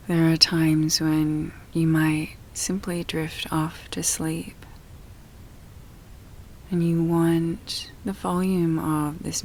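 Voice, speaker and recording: A woman guiding a relaxation meditation in a soft, breathy, often near-whispered voice, soothing and full of long pauses. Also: low-pitched, a little hiss or hum. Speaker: yoga nidra meditation guide.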